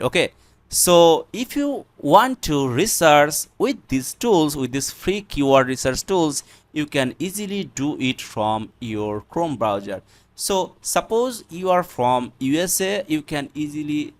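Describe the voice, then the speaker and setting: A man with a Bangladeshi accent presents an unscripted software tutorial, explaining step by step in a halting, repetitive way. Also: a little high. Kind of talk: unscripted screen-recorded tutorial.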